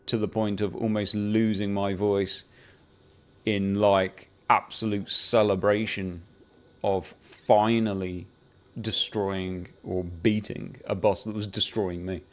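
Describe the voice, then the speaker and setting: A man chatting casually about a video game he has been playing, rambling with restarts and the odd swear, building into excited bursts. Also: fairly low pitch, takes it slowly. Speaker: gaming video creator. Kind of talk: casual unscripted game talk to camera.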